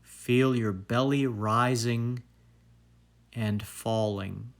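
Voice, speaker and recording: A man narrating a guided meditation in a calm, soothing, deliberate way, leaving long pauses. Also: mid-range voice, vocal fry. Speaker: meditation guide and fitness trainer.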